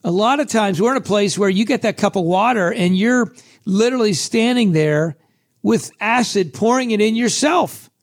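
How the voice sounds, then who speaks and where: Male podcast host, fairly high voice, hoarse and scratchy today, chatty motivational delivery. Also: moderate pace. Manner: casual and chatty, with many restarts.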